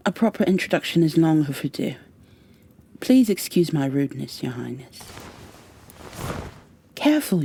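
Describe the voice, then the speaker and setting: A woman playing a stern high elf warrior in an ASMR roleplay, with formal, commanding, impatient phrasing and a soft, breathy delivery that often drops toward a whisper. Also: exaggerated voice. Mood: formal, urgent. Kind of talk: ASMR roleplay, scripted in-character monologue.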